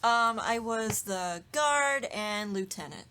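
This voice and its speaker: A woman's voice: fairly high pitch, animated, slow, sharp tone. A woman who co-hosts a podcast and voice acts, chatting informally in a group discussion. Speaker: podcast co-host and voice actor. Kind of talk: casual round-table chat.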